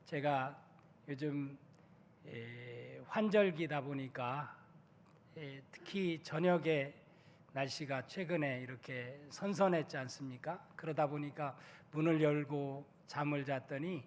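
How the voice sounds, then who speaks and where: Hoarse, high-voiced preaching pastor. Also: male voice, takes it slowly, a little hiss or hum. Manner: builds from quiet apology to loud, emphatic preaching. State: hoarse from a cold. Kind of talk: Sunday sermon. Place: church sanctuary. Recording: long church reverb.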